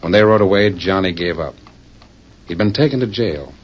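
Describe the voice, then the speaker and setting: An actor playing a grave, theatrical narrator of a 1940s radio western serial, telling the story solemnly. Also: male voice, low-pitched, lively intonation, moderate pace, slightly rough. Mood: grave, suspenseful. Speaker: narrator and announcer. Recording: muffled 1940s radio recording.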